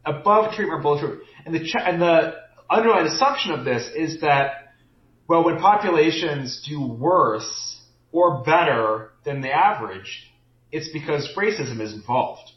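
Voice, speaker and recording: A man's voice: a little high, expressive, moderate pace. A man co-hosting a podcast, discussing a book in casual chat and thinking aloud with many restarts. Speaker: podcast co-host. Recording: roomy, dull lo-fi sound.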